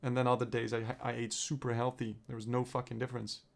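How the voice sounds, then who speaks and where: Young man, gravelly voice, mid pitch, rambling vlog, swears, getting over a cold. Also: flat delivery, quick pace. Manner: rambling, restarts and swears, thinking aloud. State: recovering from a cold, throat clearing up. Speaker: vlogger. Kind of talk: personal video diary.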